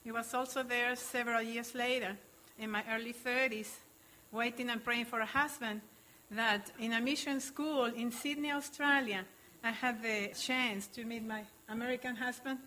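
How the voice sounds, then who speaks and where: A woman's voice: a little high, steady tone, moderate pace, sharp tone, slight background noise, poor audio. Earnest woman missionary from Chile. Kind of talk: church testimony.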